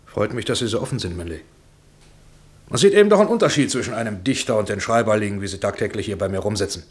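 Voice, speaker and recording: Actor as fast-talking, bossy film producer. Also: male voice, fairly low pitch, animated, close to an everyday voice. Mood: jovial, persuasive. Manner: brisk, bossy, patronizing. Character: domineering, wheedling Hollywood film producer boss. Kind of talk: radio drama acting.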